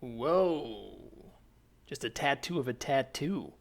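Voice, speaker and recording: A man's voice: mid-range voice, expressive, slow, gravelly. A man playing a laid-back, encouraging tattoo artist who talks in agreeable slang, in an improvised comedy sketch. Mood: impressed, easygoing.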